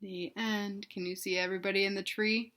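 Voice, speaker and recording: A woman's voice: mid-range voice, flat delivery, brisk, fairly bright tone. A woman reading a picture book aloud to young children, expressively, with simple explanations and questions to the listeners. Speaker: read-aloud storyteller for children.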